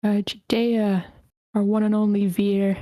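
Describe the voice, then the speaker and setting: A woman's voice: medium pitch, flat delivery, unhurried, warm tone, no room sound, studio quality. A woman casually moderating an online meeting as host. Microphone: online meeting call.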